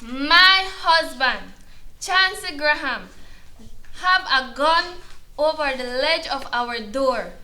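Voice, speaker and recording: A woman's voice: high voice, expressive, slow, bright, forceful tone, full character voice. A woman playing an earnest, plaintive battered wife testifying in a courtroom drama. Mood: fearful, resolute. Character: battered wife pleading earnestly before a judge.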